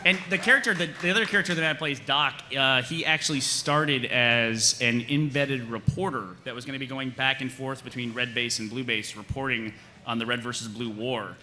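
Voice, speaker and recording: A man's voice: medium pitch, normal speed, sharp tone. A man hosting a live convention panel, explaining and chatting casually to the audience as a series creator. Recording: live hall, faint crowd and noise.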